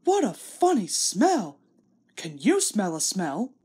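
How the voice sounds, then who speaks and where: A woman's voice: medium pitch, slow, breathy edge, heavily acted. A woman voicing a cheeky, naughty little male tank engine in a children's storybook. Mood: curious. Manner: cheeky, lively.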